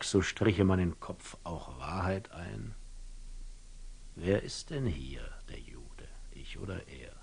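An actor playing a wise, wary older merchant in a classical German radio drama, speaking verse deliberately and theatrically, often falling to a whisper. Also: male voice, low voice, exaggerated voice. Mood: wary, pondering. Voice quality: husky, gravelly, often dropping to a whisper. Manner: deliberate, ruminative, theatrical storyteller with dramatic pauses.

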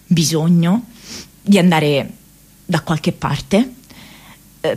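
An actress playing an earnest, quirky young woman activist in a radio monologue, hesitant and rambling, often stammering and repeating herself. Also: mid-range voice. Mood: earnest, restless.

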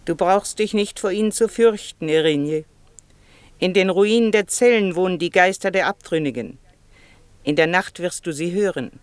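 An actress playing an old, devout nun in a German radio play, speaking with solemn reverence like a mystical guide. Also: female voice, lowish voice, normal speed, natural voice. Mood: eerie, reassuring. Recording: long cavernous church-like reverb.

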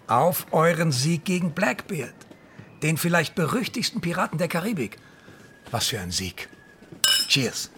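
An actor playing a pompous, vengeful colonial governor in a German radio drama, grandiose and gravelly, savouring his words. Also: on the high side, big pitch swings, unhurried, background noise. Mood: jovial, flattering. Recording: faint music behind.

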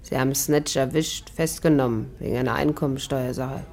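An actress playing a cool, mocking young barmaid in a 1950s German radio play, with a teasing, flippant delivery. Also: female voice, rumbling low, fairly even intonation, a little fast, vocal fry, darkish tone, heavily acted. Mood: cool, worldly.

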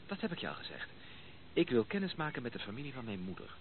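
An actor playing a polite, measured young gentleman who gently reproves, in a radio play. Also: medium pitch, big pitch swings. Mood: composed. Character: courteous young gentleman, mildly reproving, steady-tempered. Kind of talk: Dutch radio play acting. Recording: muffled narrow-band old radio recording, noisy.

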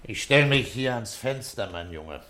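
Low voiced middle aged police inspector, patient n fatherly, radio drama. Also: measured pace, slight background noise. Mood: calm, coaxing. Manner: calm, coaxing, fatherly. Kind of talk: German radio drama acting.

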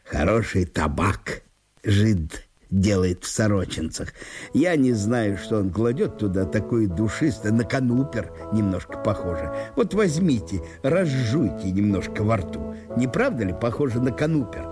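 Radio play: male actor as obstinate, huffy country landowner, highly animated delivery, music underneath. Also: a little slow. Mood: complacent, grumbling. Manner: blunt, indignant, repetitive. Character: stubborn, grumbling, indignant small-town landowner, Ivan Nikiforovich. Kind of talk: radio literary solo performance.

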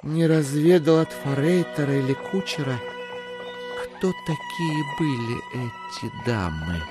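An actor playing a calculating, genteel schemer who muses to himself in drawn-out phrases in an audio drama. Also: male voice, fairly high pitch, lively intonation. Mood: dreamy, calculating. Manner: musing, drawn-out, savoring phrases. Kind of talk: audio drama acting of a literary classic. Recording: prominent music under the voice. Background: music.

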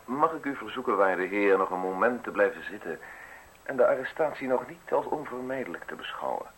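Polite but menacing state official, low voice, very formal and measured, old radio play with some hiss. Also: male voice, expressive, a bit bright. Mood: courteous, insinuating. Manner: formal, measured, courteous, deliberate phrasing. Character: polite, insinuating male state official making an arrest. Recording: hissy, noisy old radio recording.

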